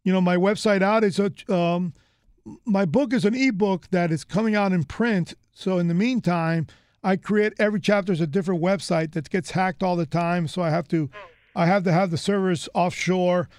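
High-voiced chatty man hosting. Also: steady tone, normal speed, a little mellow, no room sound, studio quality. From United States. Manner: rambling, chatty, many fillers and restarts. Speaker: host. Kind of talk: casual interview chat.

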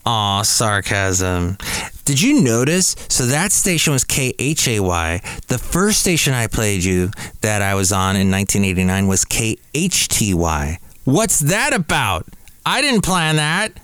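A man's voice: fairly low pitch, sing-song, unhurried, fairly bright tone, clean tone, no room sound. A middle-aged man hosting a podcast, rambling casually and playfully interrupting himself. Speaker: podcast host. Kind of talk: casual solo podcast commentary.

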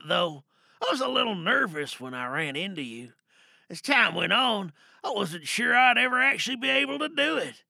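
A man playing an eager, obsessive killer, delivering an excitable, emphatic confession in an audio drama monologue. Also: high voice, creaky, no echo, voice pushed up, full character voice. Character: eager, obsessive killer gushing to chosen victim.

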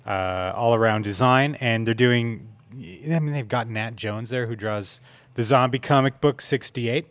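Male podcast interviewer, mid-pitched voice, informal and joking, conversational delivery. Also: a little slow. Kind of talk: casual chat.

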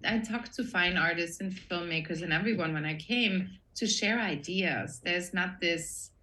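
Austrian woman, low voice, reflective. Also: unhurried, pushed and bright. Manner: thoughtful, reflective, many restarts. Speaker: guest production designer. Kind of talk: casual interview chat.

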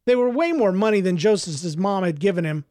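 A man's voice: high-pitched, animated, fairly quick, darkish tone, no room sound, studio quality. A man who is an author, speaking as a storyteller reading and reflecting on his own work. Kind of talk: audiobook narration and reflective interview answers.

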